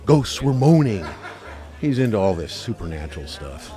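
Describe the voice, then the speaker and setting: A man's voice: on the low side, big pitch swings, normal speed, mellow. A man playing a skeptical, wisecracking hunter in a radio play, teasing and sociable. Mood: jovial, teasing. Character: wisecracking, skeptical hunting buddy, adult man.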